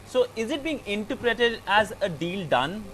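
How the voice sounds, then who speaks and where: A man's voice: sits high, sing-song, normal speed, a bit bright, slight background noise, rough recording. A man with an Indian English accent anchoring the news and questioning a reporter live.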